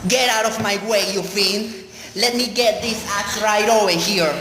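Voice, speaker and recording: A man's voice: high-pitched, steady tone, measured pace. A man playing a murderous husband in a radio play, speaking in a formal, theatrical way. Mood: murderous rage. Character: murderous husband, raging, then smugly feigning innocence to police. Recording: clear background noise.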